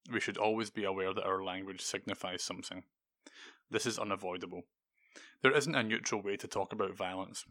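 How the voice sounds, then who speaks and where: A man hosting a solo podcast, reading a scripted lecture in a measured way with a low, gloomy voice that trails off at sentence ends. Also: fairly bright tone, dry recording. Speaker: podcast host.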